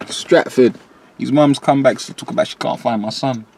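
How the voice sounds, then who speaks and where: Man, London accent, rapper guest, animated, slang-heavy, middling pitch. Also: moderate pace, creaky, a little hiss or hum. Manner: lively, rising pitch when quoting himself. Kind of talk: candid interview chat.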